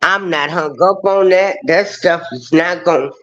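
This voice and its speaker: A man hosting a live stream who talks slowly with a fairly high voice. He speaks in an easygoing, affirming way and tends to repeat himself. His audio is dull and lo-fi with a faint hiss behind it. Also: a bit bright. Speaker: host of a live stream show. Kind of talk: casual livestream talk.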